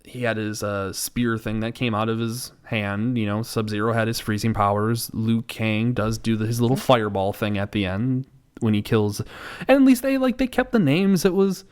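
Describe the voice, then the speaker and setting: A man's voice: fairly low pitch, normal speed, smooth. A man co-hosting a podcast, talking casually in a rambling way that circles back on his thoughts. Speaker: podcast co-host. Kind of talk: casual chat, film discussion.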